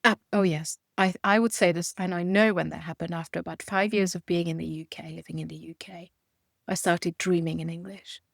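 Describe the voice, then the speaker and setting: A woman's voice: fairly low pitch, steady tone, brisk, creaky, no echo, clean studio sound. A woman in her forties from Romania and Hungary, a native Hungarian speaker, talking animatedly as an interview guest, often restarting her sentences. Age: 40s. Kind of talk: conversational interview.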